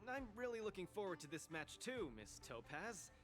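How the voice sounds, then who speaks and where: Hot-blooded young male fighter for a game, lively swings, fervent, music behind. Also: high-pitched, moderate pace. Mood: earnest. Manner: eager, fervent. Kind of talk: video game voice acting.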